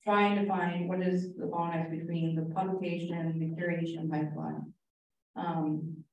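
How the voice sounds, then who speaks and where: A woman speaker at a conference with a booming, animated way of talking. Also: normal speed, muffled recording, slight background noise. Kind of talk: conference presentation. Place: conference room. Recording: echoey hall from far off.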